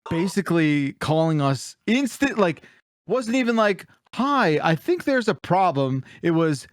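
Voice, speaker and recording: A man's voice: sits high, sing-song, unhurried, no echo, clean studio sound. A man hosting a podcast, chatting casually and comedically with the easy looseness of someone telling anecdotes. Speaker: podcast host.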